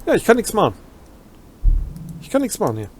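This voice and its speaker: A man's voice: high-pitched, huge pitch swings, a little fast, a little hiss or hum. A man with a German accent doing a let's play, reading game text aloud and adding wry, ironic asides. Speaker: let's player.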